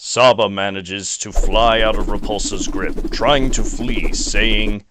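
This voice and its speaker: A man's voice: fairly low pitch, steady tone, slow. A man reading scripted narration for a fan-made audio drama. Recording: noisy home recording with clicks.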